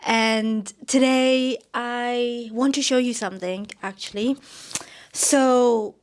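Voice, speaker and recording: A woman's voice: on the high side, fairly even intonation, slow pace, clear, resonant tone. A woman from Myanmar hosting a casual podcast, sounding nasal as she gets over a cold, rambling with many "like" fillers. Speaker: podcast host. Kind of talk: casual chatty podcast talk.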